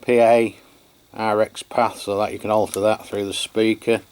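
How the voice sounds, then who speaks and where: An Englishman giving an unscripted product review and demonstration, chatty and matter-of-fact, with a halting delivery. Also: lowish voice, flat delivery, darkish tone, clean tone. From England. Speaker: radio reviewer.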